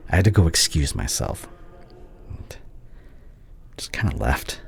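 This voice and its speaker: A man playing a heartbroken, devoted young doctor ex-boyfriend in an intimate audio roleplay, hushed and halting, often slipping toward a whisper. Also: rumbling low, sing-song, creaky, heavily acted. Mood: wry, sheepish. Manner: halting, emotional, confessional.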